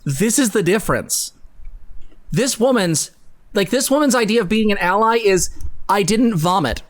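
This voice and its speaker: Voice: medium pitch, expressive, normal speed. A young adult livestream co-host speaking bluntly and emphatically into a close desk mic, sarcastic and casually sweary. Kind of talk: dramatic reading and opinionated reaction commentary. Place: small home room, indoors. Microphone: close desk microphone on boom arm.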